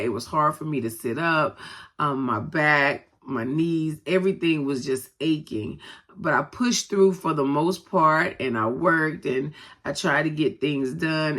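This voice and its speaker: A woman's voice: low voice, a bit bright. A grandmother and co-pastor with a stuffy nose from a head cold, chatting casually to her viewers with many "um"s. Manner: conversational, many "um"s, talks straight to viewers. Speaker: vlogger and co-pastor. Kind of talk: casual personal vlog talk.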